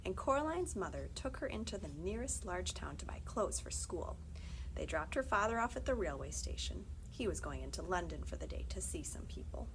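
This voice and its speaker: Read-aloud narrator: woman, thirties, lively intonation, measured storytelling, some ambient noise. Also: fairly low pitch, poor audio.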